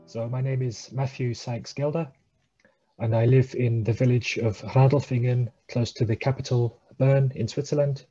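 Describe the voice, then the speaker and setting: A man's voice: mid-range voice, steady tone, moderate pace, warm tone, slightly rough, lo-fi sound, faint music behind. A man, a guest talking hesitantly over a headset on a video call from a small room. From England. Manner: hesitant, many uh fillers, thoughtful. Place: small room at home, indoors.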